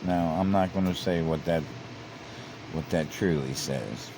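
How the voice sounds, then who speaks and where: A man's voice: sits low, measured pace, background noise. A man commenting on online comments, reading them out and repeating himself as he grows heated and emphatic. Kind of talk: casual commentary.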